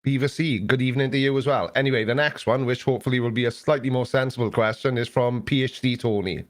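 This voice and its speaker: A man's voice: medium pitch, fairly even intonation, fast talker. A middle-aged man hosting a live Q&A stream, chatting casually in a rambling, sarcastic, self-mocking way.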